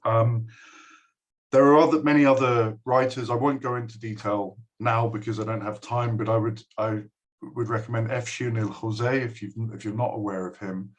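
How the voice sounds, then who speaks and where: A man's voice: mid-range voice, fairly even intonation, normal speed. A middle-aged man giving a recorded address to a school, talking hesitantly with many ums and restarts. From United Kingdom. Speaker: guest speaker addressing a school.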